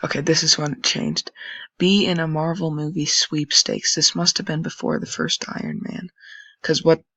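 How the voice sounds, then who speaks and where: A young man with a raspy voice, casually commenting and thinking aloud while browsing old web pages on a screen recording. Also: fairly high pitch, level tone, moderate pace, bright, forceful tone, no room sound. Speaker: channel host. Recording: dull lo-fi dry recording.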